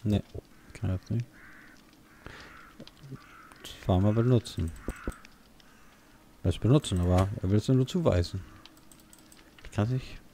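A man's voice: on the low side, a little slow, long pauses, warm tone, a little hiss or hum. A man with a German accent giving casual let's play commentary, muttering half-sentences to himself and exclaiming during fights.

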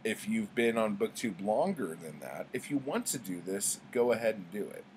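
A middle-aged man with a fairly low voice chats like a home vlogger. His delivery is conversational and rambling, full of slang and swearing. The webcam recording carries a faint layer of noise. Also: expressive, moderate pace, a little mellow, low-quality recording. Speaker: book vlogger. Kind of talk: casual chat, rambling storytelling. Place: small indoor room at home with bookshelves.

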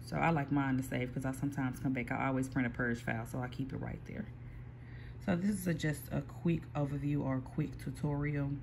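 A person casually explaining printer software step by step, with frequent pauses and restarts, recorded on a phone aimed at a computer screen. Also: mid-range voice, steady tone, vocal fry, a little hiss or hum. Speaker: small print shop owner giving a software tutorial. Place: indoor workspace at a computer. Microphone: phone filming a computer screen.

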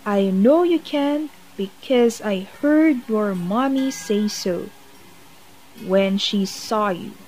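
A woman's voice: medium pitch, slow, a little mellow, soft background music, natural voice, rough recording. A woman voicing a kindly, affectionate father bear who reassures his cubs in a bedtime storybook. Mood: reassuring.

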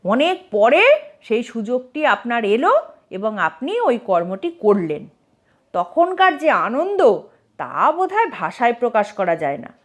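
Cheeky male court jester performed by a woman, comic tale, mock-earnest, animated, upper-register delivery. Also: on the high side. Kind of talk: dramatized comic audio storybook.

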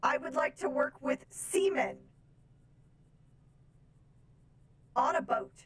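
A woman's voice: high-pitched, moderate pace, a bit bright. A woman voicing a stilted, literal-minded female robot from space in improvised game roleplay, with formal robotic phrasing. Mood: polite.

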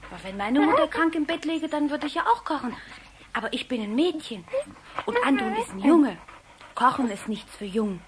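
A cheeky little rich girl, high and very animated. She is breezy and theatrically polite in an old radio play, on a record with clear surface noise. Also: brisk. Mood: musing. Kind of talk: 1960s German children's radio play acting. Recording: noisy 1960s vinyl record.

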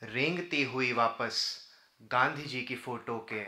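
A man's voice: medium pitch, slow, very noisy. A man recites poetry in a declamatory, rhythmic way at a podium microphone. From India. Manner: declamatory, rhythmic repeated phrasing. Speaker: poet. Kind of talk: live Urdu-Hindi poetry recitation. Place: indoor auditorium stage at a podium. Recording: roomy auditorium sound through PA microphone.

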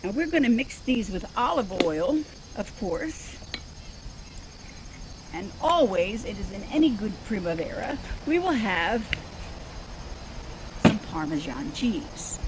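A woman's voice: medium pitch, big pitch swings, slow pace, long pauses, background noise. An older woman playing a genteel elderly zombie woman hosting a cooking show outdoors, prim and chatty, wandering off into digressions. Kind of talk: improvised comedy sketch. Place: outdoors in a backyard.

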